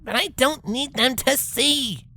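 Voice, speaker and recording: A man's voice: extremely high pitch, slow, sharp tone, dry recording, voice pushed up, heavily acted. A man voicing a cocky, brash young female pegasus athlete in a fan fiction reading, boastful and slangy. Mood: defensive, boastful.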